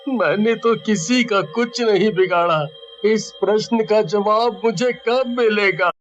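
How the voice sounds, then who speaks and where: A man's voice: high-pitched, slow, lo-fi sound, a little hiss or hum. A man voicing the anguished ghost of a wronged village man in a cartoon story, with a plaintive, wailing delivery. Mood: plaintive questioning. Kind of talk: Hindi cartoon story dialogue.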